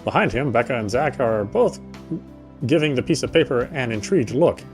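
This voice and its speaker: A man's voice: mid-range voice, lively intonation, brisk. A man streaming a game, reading visual novel narration aloud in a dramatic way. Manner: dramatic reading with offhand asides. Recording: game music behind the voice.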